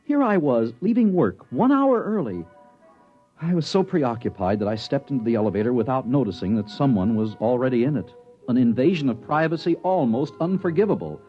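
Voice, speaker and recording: An actor playing an anxious, rule-bound office worker in a 1950s radio drama of a regimented future, earnest, agitated and stammering. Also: male voice, medium pitch, very animated, fairly quick, natural voice. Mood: uneasy. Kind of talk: 1950s radio drama acting with first-person narration. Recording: dull 1950s radio recording, music behind.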